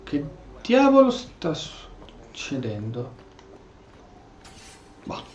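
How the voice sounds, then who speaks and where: A man's voice: a little high, wildly swooping pitch, drawn-out words, darkish tone. An Italian man giving casual commentary as he plays a video game. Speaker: gamer, video host. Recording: dull, roomy sound with faint noise.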